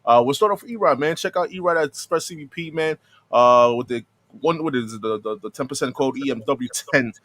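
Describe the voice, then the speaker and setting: Man, podcast host, slightly hoarse, mid-range pitch, casual, slangy, conversational. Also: a little fast, fairly bright tone. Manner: slangy, peppers talk with "man". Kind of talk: casual live podcast chat.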